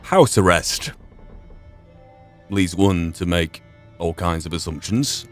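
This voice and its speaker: A man giving measured, reflective commentary and reading aloud during a video game playthrough. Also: low voice, faint music behind. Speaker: gaming channel player.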